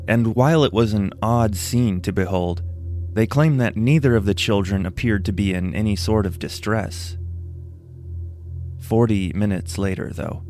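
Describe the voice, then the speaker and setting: Low-voiced man narrating a solemn story. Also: darkish tone. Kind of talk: scripted first-person story narration. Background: music.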